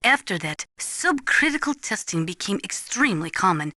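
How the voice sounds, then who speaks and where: A woman playing an earnest nuclear weapons analyst in a video game, explaining things in a measured, precise, didactic way. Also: mid-range voice, sing-song, sharp tone, dry recording, studio quality. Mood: measured, informative. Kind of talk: scripted expository video game voice acting.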